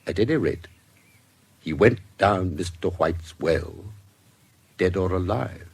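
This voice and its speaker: A man with a British accent playing a shrewd, composed investigator in a radio drama, recounting a case in formal, measured, precise language. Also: sits low, lively intonation, darkish tone, slightly rough, exaggerated voice. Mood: methodical, grave. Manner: formal, measured, deliberate storytelling.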